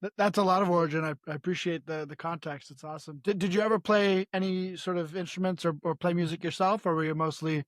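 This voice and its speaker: Higher-voiced male podcast host. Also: steady tone, fast talker, few pauses, no echo, clean studio sound. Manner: friendly, chatty questioning with fillers. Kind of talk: casual interview questions.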